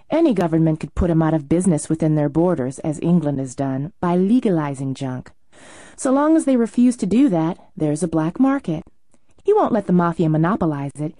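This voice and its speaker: A poised young film star with a quick, teasing tongue, as a male narrator puts her on, pitched up high in a soft, mellow tone. She fires back her retorts in a storybook reading. The sound is close and dry, with no room around it. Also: no room sound. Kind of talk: audiobook character voice.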